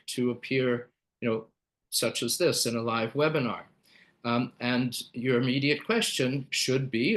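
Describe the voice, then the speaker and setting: A man's voice: mid-range voice, fairly bright tone. A man presenting a live webinar, explaining with frequent fillers and restarts.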